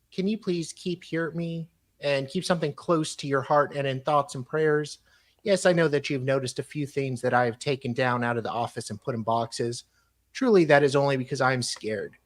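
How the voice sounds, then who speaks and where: A man with an average-pitched voice reads correspondence aloud on a live talk show. His delivery is theatrical while reading, then drops into casual, mocking commentary. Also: a bit bright. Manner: mocking asides, breaks off to comment. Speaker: host reading emails aloud.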